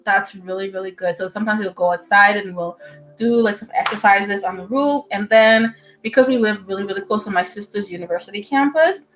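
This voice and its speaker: A young woman from the Bahamas chats loosely with her livestream audience, her voice sitting at a middle pitch. She talks in an animated way with plenty of fillers and easy laughter. Soft music plays quietly behind her in a room at home. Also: brisk, poor audio. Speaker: medical student livestreamer. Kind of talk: casual livestream chat with viewers. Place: indoors at home.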